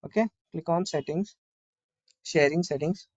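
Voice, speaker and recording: A man giving an informal step-by-step software tutorial, often asking and answering his own questions as he explains. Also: on the high side, measured pace, dry recording, dull audio. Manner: repetitive explaining with rhetorical questions.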